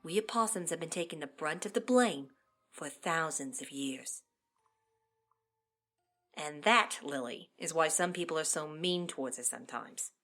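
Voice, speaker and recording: A woman voicing an opossum mother in an animated fandub, telling a story with drama and casual, playful asides, easily flustered. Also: sits low, measured pace, exaggerated voice. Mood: solemn. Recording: loud music behind the voice.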